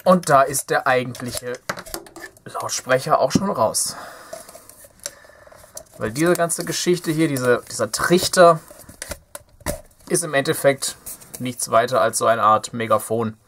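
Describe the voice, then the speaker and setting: A young man talks casually through hands-on work, muttering playfully as he thinks aloud. His voice sits at an average pitch for a man. There is faint noise in the recording, as at an indoor workbench. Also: slow, pauses often, a bit bright. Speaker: DIY electronics video host. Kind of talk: casual hands-on teardown commentary.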